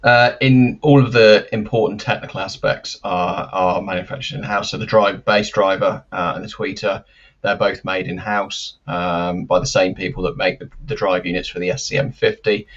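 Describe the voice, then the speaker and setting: A man giving careful, explanatory interview answers as a company expert, with measured and hesitant phrasing, over lo-fi live-stream audio. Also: deep voice, steady tone, fairly bright tone. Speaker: loudspeaker company representative, interview guest.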